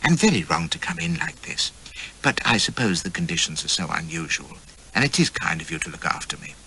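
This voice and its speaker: A man doing a shrill, prim young lady. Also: huge pitch swings, moderate pace, exaggerated voice, rough recording. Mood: coy whisper. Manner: shrill, squealing when outraged, hushed to a hiss. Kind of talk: audiobook character voice.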